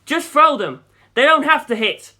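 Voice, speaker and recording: A man voicing a plucky fighter pilot in an audiobook, shouting in a decisive manner. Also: very high-pitched, expressive, normal speed, voice pushed up, full character voice. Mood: urgent. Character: plucky, quick-thinking male fighter pilot hero.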